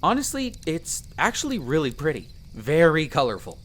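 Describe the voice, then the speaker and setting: A man playing a friendly, earnest young elf explorer in roleplay audio, explaining things chattily with hesitant pauses. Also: fairly high pitch, wildly swooping pitch, fairly bright tone, slight background noise. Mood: chatty, informative. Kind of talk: character roleplay audio addressed to the listener.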